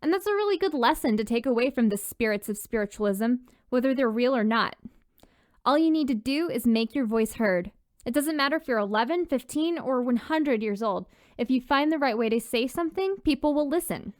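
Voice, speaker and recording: A woman's voice: a little high, animated, fairly quick, dry recording, clean studio sound. A woman reading a scripted story narration, speaking encouragingly and directly to her listeners.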